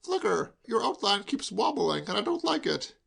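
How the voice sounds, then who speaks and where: A man's voice: high voice, sing-song, normal speed, vocal fry, a bit bright, breathy edge, voice pushed up, heavily acted. A man putting on a tipsy, theatrical young toff. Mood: querulous. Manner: exuberant, theatrical declaiming. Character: drunk, jubilant young man quoting Shakespeare.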